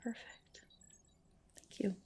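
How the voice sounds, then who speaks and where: A woman whispering softly and breathily in an ASMR roleplay as a spa skin specialist, gently explaining and reassuring. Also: slow. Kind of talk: ASMR roleplay, personal attention.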